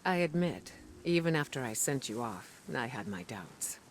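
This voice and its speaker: An actress playing a composed, scholarly female spymaster in a video game, speaking in a measured, deliberate, grave way. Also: a bass voice, very animated, slight background noise, heavily acted. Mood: measured, cautious. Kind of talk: scripted video game dialogue. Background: background music.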